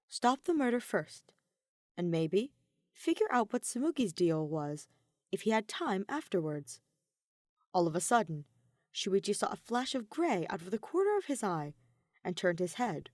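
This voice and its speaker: Woman reading a story aloud, expressive pitch, really dry close sound, audiobook narrator. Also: dry recording. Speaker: podfic narrator.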